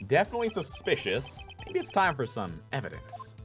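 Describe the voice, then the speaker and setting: A man playing an earnest, determined young defense attorney in a courtroom game, emphatic and excitable. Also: mid-range voice, brisk, faint music behind. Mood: determined, puzzled. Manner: emphatic, accusing, inner musing.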